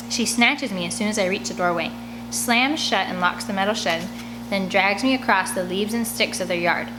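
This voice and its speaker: A woman's voice: medium pitch, background noise. A woman reading a first-person story aloud expressively, as an audiobook narrator. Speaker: audiobook reader.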